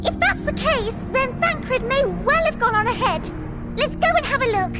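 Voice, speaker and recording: A woman's voice: very high voice, lively intonation, moderate pace, music in the background. A woman voicing a small, earnest and practical expedition leader in a video game. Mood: businesslike. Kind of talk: dramatic video game voice acting.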